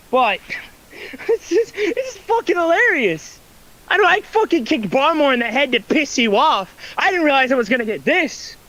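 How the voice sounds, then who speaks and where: A man's voice: very high voice, fairly bright tone. A man cutting a heated, profane wrestling trash-talk promo for an online league, aggressive and taunting.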